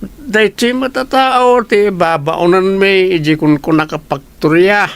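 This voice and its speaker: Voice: mid-range voice, unhurried, lightly acted. An actor with an Ilocano accent playing a boastful, lovestruck village drinker in a comedy radio drama. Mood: teasing.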